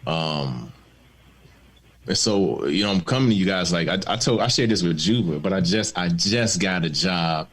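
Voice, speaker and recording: A man born in the Bahamas and raised in Maryland, a TV showrunner speaking as a guest, telling personal stories in a candid panel conversation, reflective and quick to laugh, over a video call. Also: deep voice, animated, fairly bright tone. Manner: reflective, storytelling, laughs easily.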